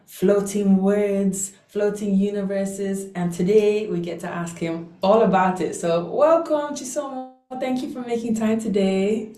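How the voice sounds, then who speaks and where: A woman's voice: medium pitch, reverberant. A woman hosting a podcast, enthusiastic and eager, firing off question after question. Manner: enthusiastic, warm, asks many questions. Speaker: podcast host. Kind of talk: casual interview conversation.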